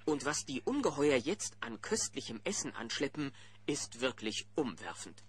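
A man's voice: medium pitch, sing-song, normal speed, slightly rough. A man playing a chummy narrator, telling a scripted story to young listeners in a children's audio play. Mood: storytelling.

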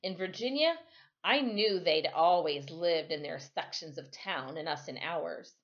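A middle-aged woman reading a children's novel aloud in a measured, expressive storytime style at home. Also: on the low side. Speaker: storytime reader. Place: small furnished room at home. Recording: slightly dull, lo-fi webcam sound.

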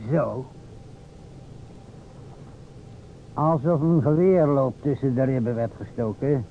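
An actor playing an earnest, insistent police inspector in an old radio play, formal and deliberate with hesitations. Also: male voice, fairly high pitch, creaky. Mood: insistent, probing. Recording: tinny, noisy old radio recording.

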